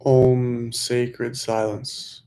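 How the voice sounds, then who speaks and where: A man leading a guided meditation, giving gentle instructions in unhurried phrases with pauses. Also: medium pitch, flat delivery.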